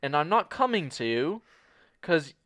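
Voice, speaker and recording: A man in an improv comedy scene playing a cocky, clueless American who pitches a spy movie, rambling and brash and talking over people. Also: sing-song, measured pace, a bit bright, no room sound, clean studio sound.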